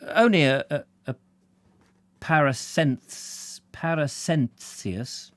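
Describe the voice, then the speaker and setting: A man voicing a defensive young male medical student, halting at first, then emphatic. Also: fairly high pitch, lively intonation, a bit bright, dry recording, pitched above the performer's own voice. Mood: hesitant.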